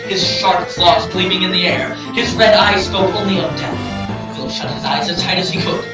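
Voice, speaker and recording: A man's voice: high voice, fairly even intonation, moderate pace. A man reading scripted, dramatic storytelling narration for an amateur audio drama. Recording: echoey, distant hobby recording, loud music.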